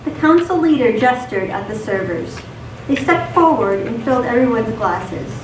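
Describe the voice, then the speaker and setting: A woman's voice: on the high side, very animated, moderate pace. A woman narrating a scripted radio theatre piece on stage. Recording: echoey stage, distant mic, background noise.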